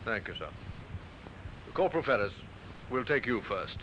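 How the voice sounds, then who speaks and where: An actor playing a businesslike police inspector in an old radio drama, asking clipped, polite, probing questions. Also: male voice, mid-range voice, very animated, moderate pace, natural voice. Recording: muffled, noisy old radio recording.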